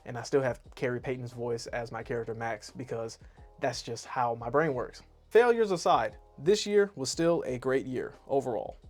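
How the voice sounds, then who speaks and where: A man's voice: mid-range voice, moderate pace, a little mellow, soft background music. A man reviewing his year to camera in a casual, rambling, self-deprecating way. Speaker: YouTube artist and animator.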